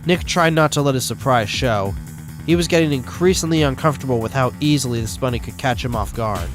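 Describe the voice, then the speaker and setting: A man's voice: medium pitch, fairly quick. A man reading scripted story narration as the narrator. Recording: music behind the voice.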